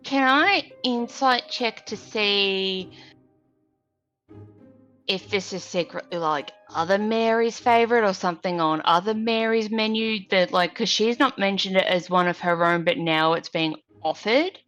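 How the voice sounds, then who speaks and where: A woman talks casually as a player in a tabletop game. Her voice sits at an ordinary middle pitch, with soft music playing behind it. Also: unhurried, dull audio.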